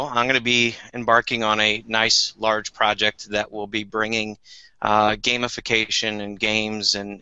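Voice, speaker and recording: A man's voice: fairly low pitch, bright, forceful tone, clean tone, dry recording, rough recording. An American man speaking enthusiastically and conversationally as a guest on an online video call. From United States. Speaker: teacher and podcaster, panel guest. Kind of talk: informal conversational introduction.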